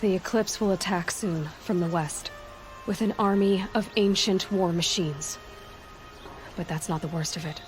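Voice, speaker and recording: A woman's voice: lowish voice, close to an everyday voice. A young woman playing a resolute huntress warrior in a video game, speaking in a direct, grave and determined way. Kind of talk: video game cutscene acting.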